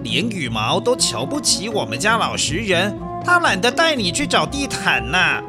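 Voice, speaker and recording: A man's voice: sits high, big pitch swings, moderate pace, music in the background. A man voicing a sly, scornful young second prince with an exaggerated teasing manner in a children's audio drama.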